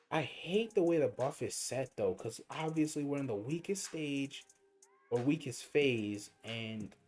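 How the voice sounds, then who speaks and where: A man's voice: medium pitch, expressive, slow pace, darkish tone. A young man giving rambling, slangy commentary while livestreaming a mobile game, with faint game music behind him. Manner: rambling, slangy, thinking aloud. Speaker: gaming streamer. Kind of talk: casual livestream commentary over gameplay.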